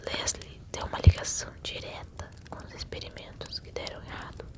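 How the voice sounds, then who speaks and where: Brazilian woman, fully whispered ASMR storyteller, soothing and intimate direct address to help a listener sleep. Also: a little fast. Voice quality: whispered, breathy, unvoiced.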